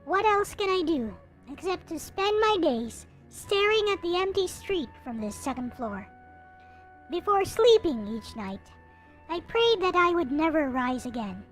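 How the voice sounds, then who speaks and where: Woman voicing despairing young visual novel protagonist, very high pitch, expressive dramatic recitation, music underneath. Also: unhurried, full character voice. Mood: bitter, despairing. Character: despairing young narrator losing eyesight, wistful and bitter. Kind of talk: reading visual novel text aloud. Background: game music.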